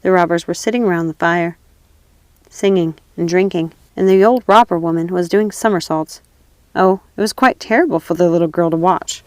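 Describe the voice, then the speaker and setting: A woman's voice: on the low side, normal speed, darkish tone, no echo. A woman narrating a classic fairy tale as a storybook reader.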